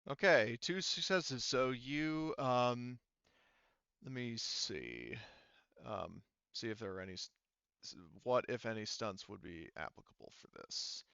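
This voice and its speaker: A man running a tabletop roleplaying game as game master, explaining casually and often pausing to think aloud. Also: medium pitch, animated, gravelly, bright, forceful tone, clear, resonant tone, no echo, highs cut off.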